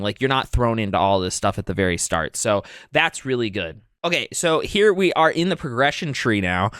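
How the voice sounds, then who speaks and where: A man's voice: medium pitch, a bit bright, clear, resonant tone, dry recording, clean studio sound. A man chatting casually as a gaming YouTuber, rambling with lots of fillers and restarts, his voice a little off from being sick. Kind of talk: casual commentary on a trailer. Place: home, storm outside.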